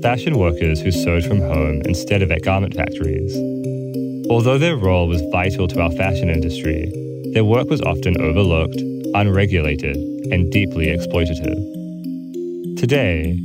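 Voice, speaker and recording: A man with an Australian accent, reading a scripted podcast introduction as host. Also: low voice. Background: music.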